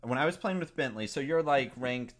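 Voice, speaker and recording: Lively, animated man co-hosting gaming podcast. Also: medium pitch, a little fast. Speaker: podcast co-host. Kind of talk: casual gaming podcast chat.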